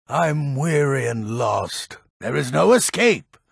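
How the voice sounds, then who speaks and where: A gruff old Nordic man from a fantasy game, proud and grumpy. His voice is very husky and takes its time, moving up and down with theatrical emphasis. The sound is close and dry. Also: mid-range voice, very animated, slow pace, dry recording, exaggerated voice. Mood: grim, weary. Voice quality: gravelly, raspy. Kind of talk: video game character dialogue.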